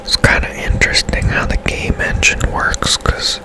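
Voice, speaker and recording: A man whispering casual, rambling ASMR commentary over his own gameplay, musing as he goes. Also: moderate pace. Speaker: gaming streamer narrating own gameplay.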